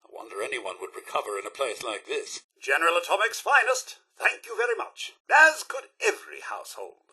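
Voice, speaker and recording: A posh-mannered british robot butler from a game, fussy n polite, bright raspy voice, swoops around a lot. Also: male voice, a little high, wildly swooping pitch, fairly quick, exaggerated voice. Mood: wry dismay, then pleased, polite. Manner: formal, genteel, theatrical. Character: prim, courteous male robot butler, fussy and dryly witty. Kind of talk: video game character voice lines.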